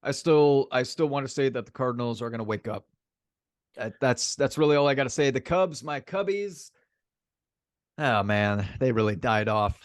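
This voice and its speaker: A man's voice: medium pitch, lively intonation, normal speed, no echo. Casual man co-hosting, dry close sound. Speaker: sports podcast co-host. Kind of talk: casual sports banter.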